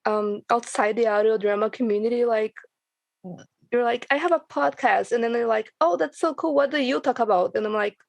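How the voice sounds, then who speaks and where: A woman's voice: fairly high pitch, brisk, creaky, no room sound. A woman who makes fiction podcasts, talking casually in a group discussion. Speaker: fiction podcast creator on a panel. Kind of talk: casual chat.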